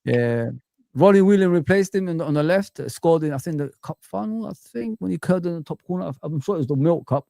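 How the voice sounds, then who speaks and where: A man from Africa chatting casually as a football pundit on a fan livestream, reminiscing in an animated way and often adding "you know what I mean". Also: a little high, fast, vocal fry, no echo, clean studio sound.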